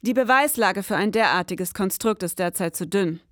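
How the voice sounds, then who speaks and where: An actress playing a prim young investigator in an audio drama, speaking in precise, formal, matter-of-fact sentences. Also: female voice, mid-range voice, normal speed, fairly bright tone, close to an everyday voice. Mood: analytical. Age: young adult. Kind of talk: scripted audio drama acting and narration.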